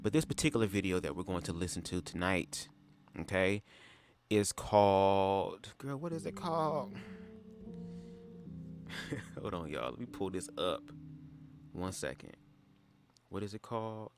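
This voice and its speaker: Man, very low voice, stuffy nose, chatty livestream host, music in the background. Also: lively intonation, a little slow, long pauses. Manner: chatty, slangy, addresses viewers directly. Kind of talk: casual livestream commentary.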